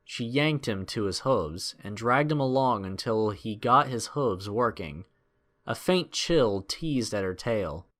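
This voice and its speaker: A man narrating a scripted adventure story in a measured, expressive, dramatic storytelling style. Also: lowish voice.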